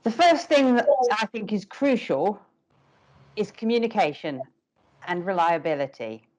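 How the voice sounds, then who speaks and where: A woman's voice: medium pitch, expressive, measured pace, darkish tone. A middle-aged woman and parent carer speaks firmly and directly on a panel video call. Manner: firm, direct and emphatic. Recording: dull lo-fi call audio.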